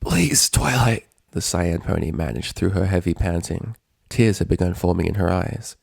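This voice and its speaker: A man reading a scripted story as narrator, in a measured, dramatic storytelling manner. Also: low-pitched, fairly even intonation, gravelly, dark tone, no echo, studio quality.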